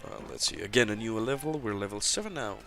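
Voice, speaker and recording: A man's voice: lowish voice, animated, fast talker, vocal fry, music in the background. A man from Romania, commenting live as he plays through a fantasy online game. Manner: narrates his actions, many fillers. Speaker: game developer streaming gameplay.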